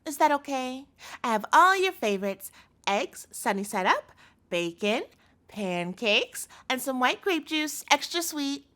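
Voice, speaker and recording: A woman's voice: high voice, very animated, a little slow, sharp tone, exaggerated voice. A woman playing a doting, possessive yandere girlfriend in a scripted audio roleplay, coaxing and pleading in a cloying manner. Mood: sweetly doting.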